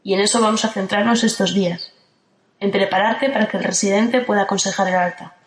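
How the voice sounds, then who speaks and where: A woman playing a calm ageless guardian, roomy echo. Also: medium pitch, a little fast, close to an everyday voice. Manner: calm, steady, firm. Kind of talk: audio drama acting.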